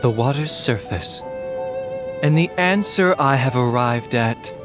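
Man acting theatrical ex-soldier, obsessed with prophecy, grandiose, slow delivery, sweeping intonation, video game drama. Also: mid-range voice, expressive, music in the background, close to an everyday voice. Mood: grandiose, poetic. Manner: grandiose, measured, reciting like verse.

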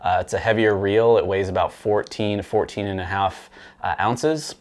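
A man in his thirties giving a relaxed, conversational review of fishing gear to the camera, with frequent fillers. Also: fairly low pitch, moderate pace. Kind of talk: casual product review to camera. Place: small indoor room with rod racks.

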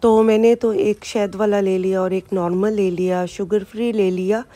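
A woman's voice: mid-range voice, fairly even intonation, moderate pace, warm tone, dry recording. A woman, chatting casually through a grocery run and explaining products and prices as she films on her phone. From Pakistan. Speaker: vlogger. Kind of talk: casual vlog narration. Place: supermarket, indoors.

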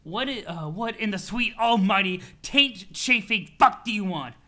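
Man voicing an irritable, loud, high-pitched young troll. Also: very animated, fairly quick, exaggerated voice. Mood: exasperated, scornful. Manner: exasperated, ranting, insulting. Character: irritable, loudmouthed young male troll, sweary and scornful.

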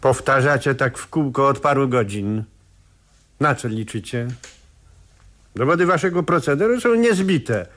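A man's voice: mid-range voice, animated, a little slow, long pauses, a bit bright. A man acting in a radio play as a stern, weary police commissioner, speaking in a curt, official manner.

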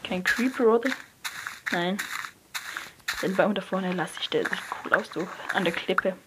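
A young person, likely a teen, giving hesitant gameplay commentary, often correcting themselves and dropping to a near whisper. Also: female voice, mid-range voice, unhurried. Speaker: let's player. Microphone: phone screen recorder mic. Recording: noisy lo-fi phone recording.

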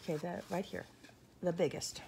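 A low-pitched woman with a creaky edge to her voice, coaching a child's reading as a mother. She patiently offers corrections word by word in a casual way. The home phone recording has clear noise and clicks. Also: sing-song, moderate pace. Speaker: mother helping her child read aloud. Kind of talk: casual reading help with short word prompts. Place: small lived-in room at home, by a window. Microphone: handheld phone, behind the camera.

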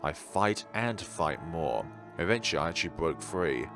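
A man with a very deep voice narrates a horror visual novel as a let's player. His delivery is dramatic and highly animated, jumping into loud outbursts. Faint game music plays behind him. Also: normal speed. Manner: theatrical, with sudden loud outbursts. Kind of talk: dramatic read-aloud and commentary of a horror game.

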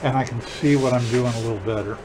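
An older man with a mid-pitched voice talks through a hands-on job in a casual, folksy way. He sounds like a plainspoken how-to host. Clear background noise from the garage workshop sits behind his voice. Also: steady tone, fast. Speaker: drum restorer hosting a how-to video. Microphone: camera a short distance away.

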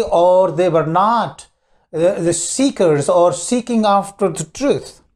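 Slow, deliberate preaching man. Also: high voice, darkish tone. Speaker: minister. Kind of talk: sermon. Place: small indoor studio, red backdrop. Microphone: lapel.

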